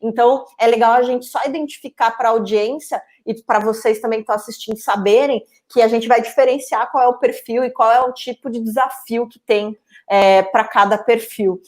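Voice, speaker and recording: A woman's voice: on the high side, fairly even intonation, moderate pace, few pauses. A woman hosting a webinar discussion, talking at length and circling back with restarts. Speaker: webinar host. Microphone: video call.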